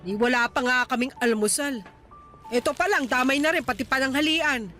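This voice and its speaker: Woman acting shrill, nagging, sharp-tongued mother. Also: normal speed, soft background music. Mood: exasperated complaining. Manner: scolding, exclamatory, blunt. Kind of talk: Tagalog radio drama acting.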